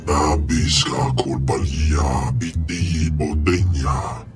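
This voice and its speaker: A man voicing a huge, haughty alien slug crime lord in a video game, ponderous and booming. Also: steady tone, slow pace, soft background music. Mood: haughty, dismissive. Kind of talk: video game dialogue in an invented alien tongue.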